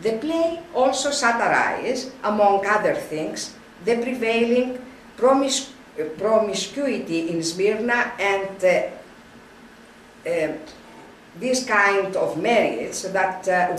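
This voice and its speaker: Older woman reading a lecture slowly, roomy. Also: mid-range voice, lively intonation, a little hiss or hum. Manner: slow, halting reading with frequent restarts. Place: wood-panelled room, indoors. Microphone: camera at a distance. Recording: roomy, distant camera sound.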